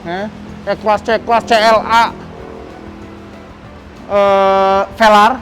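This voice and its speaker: A man's voice: high voice, level tone, drawn-out words, a bit bright, faint music behind, low-quality recording. An Indonesian man hosting a car vlog, chatting casually and teasing his guest with short, clipped questions and replies. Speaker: car channel host. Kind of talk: casual chat, showing cars and questioning a guest.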